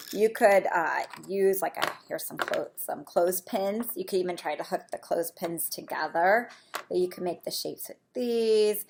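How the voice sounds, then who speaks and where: Slow-paced woman teaching preschoolers. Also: mid-range voice, gravelly. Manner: encouraging, simple step-by-step explaining with questions to children. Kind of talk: read-aloud and shape activity lesson for young children.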